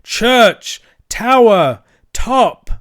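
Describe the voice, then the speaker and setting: A man voicing a bold schoolboy in a storybook, calling out in loud, emphatic, clipped shouts. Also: very high voice, expressive, extremely slow, voice pushed up, full character voice.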